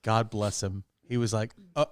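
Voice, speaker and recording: A man's voice: on the low side, flat delivery, moderate pace, no room sound, clean studio sound. A middle-aged man co-hosting a podcast, chatting casually and telling everyday anecdotes. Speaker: podcast co-host.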